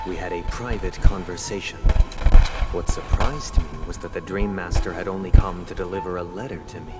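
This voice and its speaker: An actor playing a refined, courteous young head of a noble family in a game, speaking formally and eloquently with measured composure. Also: male voice, low voice. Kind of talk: scripted game dialogue and storytelling.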